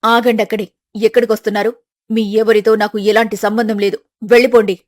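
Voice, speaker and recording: A woman's voice: fairly high pitch, flat delivery, fairly quick, darkish tone, lightly acted. Lady doing an angry middle aged mom from a family drama story, harsh, bitter, scolding hard. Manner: harsh, accusatory, emphatic.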